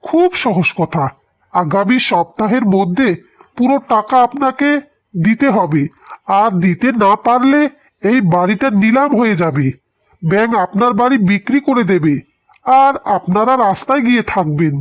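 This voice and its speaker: A man's voice: high-pitched, lively intonation. Man acting smug cartoon debt collector, muffled sound. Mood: smug menace. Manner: mock-polite, slow, threatening. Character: smug, menacing male debt-collecting agent.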